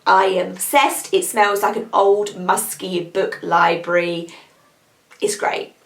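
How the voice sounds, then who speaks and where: A young British woman with a croaky voice from a cold, chatting casually as she reviews beauty products she has used up. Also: mid-range voice, little pitch movement, takes it slowly, reverberant. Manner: chatty, rambling, upbeat. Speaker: beauty vlogger.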